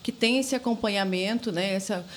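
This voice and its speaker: A woman's voice: medium pitch, normal speed. Hesitant woman guest, faint background noise. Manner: hesitant, frequent tag questions. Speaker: interview guest. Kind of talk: conversational radio interview talk.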